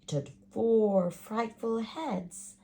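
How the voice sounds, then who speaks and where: A woman reading a rhyming picture book aloud with an expressive, rhythmic delivery. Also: on the high side, very slow. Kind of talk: storybook read-aloud.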